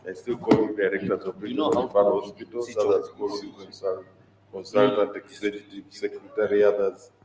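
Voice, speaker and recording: A man moderating a health conference session, halting with many fillers. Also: lowish voice, level tone, heavy background noise. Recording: echoey hall from far off, faint ambience.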